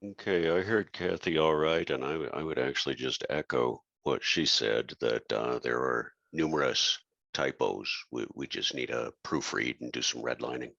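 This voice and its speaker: A man giving casual, halting, understated feedback on a lo-fi video call. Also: a bass voice, gravelly, bright, forceful tone, dry recording. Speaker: table read participant. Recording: dry, lo-fi video call audio.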